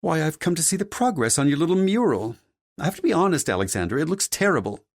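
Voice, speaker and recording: A haughty, domineering society mother, voiced by a man for an audiobook. The voice is lifted high and swoops grandly, condescending and scolding, in a close, dry sound. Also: sing-song, quick pace, no room sound. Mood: disdainful. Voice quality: deep female voice. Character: haughty, domineering wealthy mother of a grown son. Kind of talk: audiobook character dialogue.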